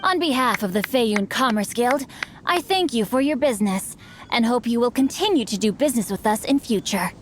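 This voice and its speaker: A woman's voice: fairly high pitch, expressive, normal speed, a little hiss or hum, close to an everyday voice. A woman voicing a refined, bookish, slyly teasing noble teenage boy in a video game, with ornate, courtly phrasing. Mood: courteous. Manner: ornate, courtly, archaic wording. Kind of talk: English video game voice acting.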